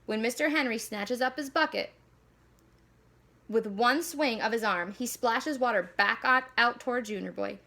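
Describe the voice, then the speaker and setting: Bright, high-voiced woman reading a storybook. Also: normal speed. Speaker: school staff member reading aloud. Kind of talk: expressive children's storybook read-aloud.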